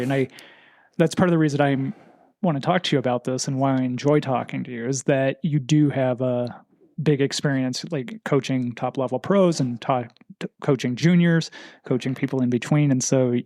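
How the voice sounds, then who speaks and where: A man's voice: medium pitch, darkish tone, no room sound. A man hosting a cycling podcast, introducing his guest and asking loosely phrased questions. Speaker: podcast host, cycling coach. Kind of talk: conversational podcast interview.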